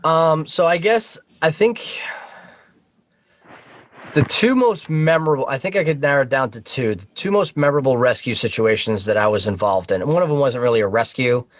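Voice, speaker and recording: A man's voice: medium pitch, lively intonation, moderate pace, no room sound. A man chatting informally as a podcast guest, telling work stories and swearing casually. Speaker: podcast guest, Coast Guard boat operator.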